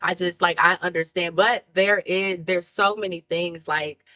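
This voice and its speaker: A woman's voice: lowish voice, steady tone, measured pace, sharp tone. A woman. She talks as a guest in a casual interview, rambling informally and leaning often on "you know.". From Houston, Texas, United States. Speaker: show guest, rapper.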